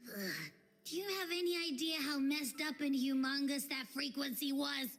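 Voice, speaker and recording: A woman's voice: high-pitched, normal speed, a bit bright, faint music behind. A woman voicing a small, grumpy creature companion in a video game, brash and whiny in manner. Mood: grumpy, indignant. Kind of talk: video game character acting.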